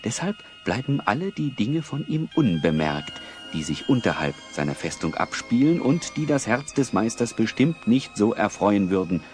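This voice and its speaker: A man narrating a children's audio drama as a storyteller, wry and expressive. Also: fairly low pitch, moderate pace, faint music behind. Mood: suspenseful, wry.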